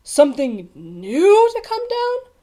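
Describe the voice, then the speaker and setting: Storybook page boy, played by a woman: young, earnest, high-pitched, respectful yet worried. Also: big pitch swings, normal speed, a little mellow. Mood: astonished. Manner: deferential, pleading, gasping. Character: earnest, anxious young page boy, polite but frank. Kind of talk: storybook character voice.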